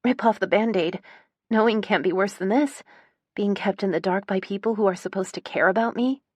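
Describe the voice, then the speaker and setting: Narrator doing a demanding twenty-something woman in an audiobook, hurt and sharp, pushing for answers, dry close sound. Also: on the low side, very animated, moderate pace, dry recording. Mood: hurt, shaken. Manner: insistent, sharp, emotional. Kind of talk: audiobook character dialogue.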